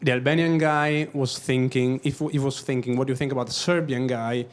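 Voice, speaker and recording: Italian man with halting English. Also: medium pitch, fairly even intonation. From Italy. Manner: halting, searching for words, then quick bursts. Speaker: audience member, documentary photographer. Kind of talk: question-and-answer at a talk.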